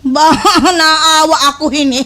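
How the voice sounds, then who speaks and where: A woman's voice: sits high, fairly even intonation, slow pace, bright, forceful tone, exaggerated voice. A woman with a Waray accent playing an envious, scheming, easily enraged village gossip in a slapstick radio drama, with exaggerated, wailing delivery. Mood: envious fury.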